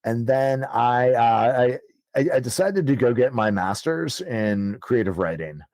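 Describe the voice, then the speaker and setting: A man's voice: medium pitch, animated, a little slow, a little mellow, dry recording. A man in his thirties, an author guest telling his story in a casual, rambling way with many fillers and easy swearing. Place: podcast studio.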